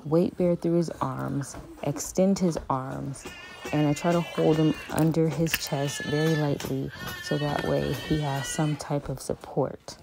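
A woman in her thirties, a parent vlogging home therapy with her child, speaking in a calm, monotone, matter-of-fact way from a phone camera in a small playroom. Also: low voice, unhurried, gravelly, over music, rough recording. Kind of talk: home therapy vlog, talking while working with her son. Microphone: phone camera on a stand a few feet away.

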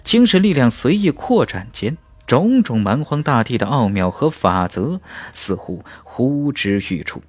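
A man narrating a fantasy audiobook in a dramatic, scripted style, with measured, emphatic phrasing. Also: medium pitch, big pitch swings, no room sound. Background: faint music.

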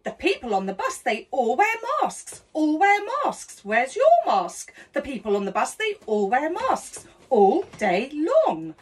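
50s woman doing a naughty schoolteacher act, high lively voice, slow delivery, filmed on a phone at home. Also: breathy edge. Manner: mock-schoolteacher tone, singing and laughing. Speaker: comedy host. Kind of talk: rude comic song and story parody. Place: small decorated room indoors.

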